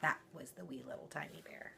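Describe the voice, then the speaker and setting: A woman's voice: deep voice, brisk, creaky, pushed and bright, slight background noise. A woman reading a children's story aloud expressively, with informal, playful asides.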